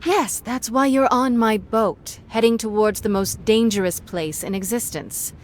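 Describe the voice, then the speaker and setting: A woman's voice: measured pace, natural voice. Woman voicing a tough, sardonic pirate captain. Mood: sarcastic, irritated. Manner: sarcastic, commanding. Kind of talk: dramatic video game acting. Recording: faint rumbling background noise.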